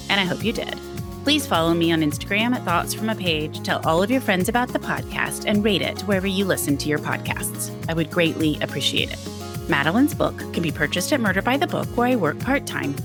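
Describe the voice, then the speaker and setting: A woman's voice: fairly low pitch, lively intonation, fairly quick, over music. A woman hosting a podcast in a conversational interviewing style. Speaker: podcast host.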